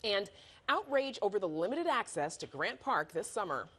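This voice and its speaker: A woman's voice: medium pitch, very animated, moderate pace, a bit bright, slightly rough. A woman anchoring a local news show, giving a composed, polished scripted close.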